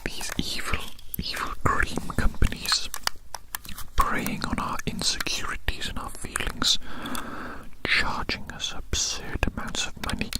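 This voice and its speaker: Casting: ASMR performer as doctor, fully whispered and breathy, playful, repetitive, meandering delivery. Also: unhurried, low-quality recording. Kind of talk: whispered ASMR medical roleplay.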